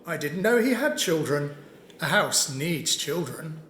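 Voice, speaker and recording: A woman's voice: low-pitched, lively intonation, measured pace, fairly bright tone, echoey room, a little hiss or hum. A woman playing a wary, reclusive attic dweller in an audio drama, speaking curtly. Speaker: amateur actor. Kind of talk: community audio drama acting.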